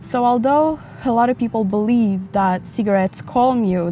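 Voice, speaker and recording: Mellow-voiced young woman from Portugal. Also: mid-range voice. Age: 20s. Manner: chatty, rambling, frank. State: slightly raspy, dry throat. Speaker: vlogger. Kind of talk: casual personal vlog. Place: outdoors near a busy highway. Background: passing motorcycles and traffic.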